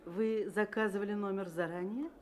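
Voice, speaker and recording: An actress playing a courteous, helpful hotel receptionist in a radio drama. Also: female voice, mid-range voice, steady tone, moderate pace, lightly acted.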